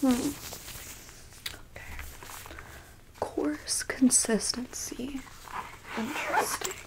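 A woman playing a coolly clinical, smug and curious alien abductor in an ASMR roleplay, in a soft breathy whisper with a coyly condescending manner. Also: sits high, wildly swooping pitch, drawn-out words, heavily acted, low-quality recording. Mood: clinical, focused.

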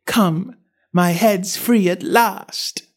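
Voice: mid-range voice, sing-song, unhurried, pitched above the performer's own voice. A storybook reader voicing a polite, puzzled little girl who is earnest, wondering and easily indignant. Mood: delighted. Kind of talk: storybook character acting.

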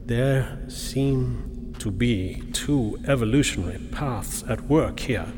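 An actor playing an analytical, grimly fascinated expedition doctor in a radio drama, speaking in a formal, precise, lecturing way. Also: male voice, mid-range voice, slow, background noise, close to an everyday voice. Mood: fascinated, clinical. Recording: cavernous reverb, music underneath.

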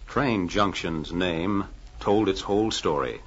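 A man's voice: sits low, slow, faint music behind. A man narrating a vintage radio drama in a formal, scripted announcer style. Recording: muffled, hissy old radio recording.

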